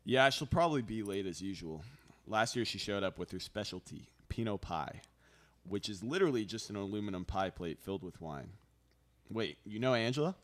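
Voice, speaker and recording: Young male actor as fussy, put-upon dinner host for radio drama, deep register. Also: animated, brisk, fairly bright tone. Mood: musing. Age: young adult. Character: fussy, put-upon twenty-six-year-old son hosting dinner. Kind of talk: live radio theater acting, scripted. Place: small studio, seated at table with others. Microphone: stand microphone up close.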